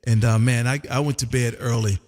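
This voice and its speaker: A man's voice: medium pitch, fairly even intonation, a little fast, a little mellow, in a large hall. An American man hosting a casual amateur radio chat in a folksy way, often repeating his phrases. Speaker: amateur radio operator and live stream host.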